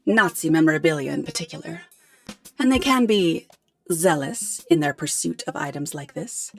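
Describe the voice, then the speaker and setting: An actress playing a poised, articulate professor in a radio drama, explaining things in a measured, polite way. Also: female voice, low voice, big pitch swings, creaky, faint music behind, full character voice. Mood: concerned. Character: poised, articulate female professor hiring a detective.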